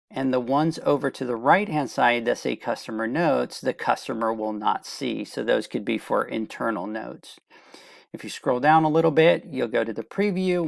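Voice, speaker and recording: Gravelly-voiced man presenting a software demo. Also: mid-range voice, dry recording, clean studio sound. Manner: plain step-by-step instructional delivery. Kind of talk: screen-share product walkthrough, semi-scripted explanation.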